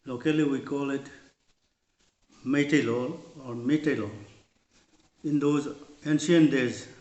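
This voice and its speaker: Slow man reciting poetry. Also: medium pitch, fairly even intonation, a little mellow, echoey room. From Manipur, India. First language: Manipuri. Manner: deliberate, repeats each stanza twice. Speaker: poet.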